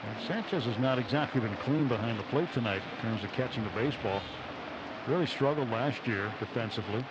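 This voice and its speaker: A man's voice: medium pitch, fairly quick. A man giving conversational baseball commentary on the radio as a co-commentator. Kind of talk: conversational live sports commentary. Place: ballpark broadcast booth. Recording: dull radio sound, very loud crowd noise. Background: very loud ballpark ambience.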